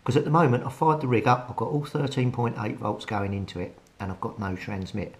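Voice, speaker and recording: A man's voice: medium pitch, fairly even intonation, fairly quick. A British man giving a casual, hands-on repair commentary, thinking aloud with some hesitation as he works. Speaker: hobbyist radio repairer presenting a repair video. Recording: clearly noisy recording with clicks.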